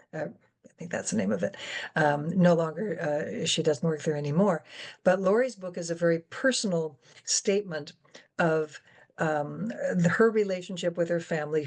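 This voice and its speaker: A woman moderating a public discussion, speaking conversationally in an explanatory, opinionated way. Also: on the low side. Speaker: guest moderator, science educator.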